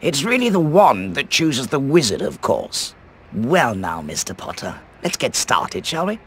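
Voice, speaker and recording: An actor playing an eccentric, mysterious old British wandmaker in a video game, theatrical, musing and precise. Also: male voice, mid-range voice, very animated, a little slow, a bit bright, a little hiss or hum. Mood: businesslike. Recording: music behind the voice. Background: music.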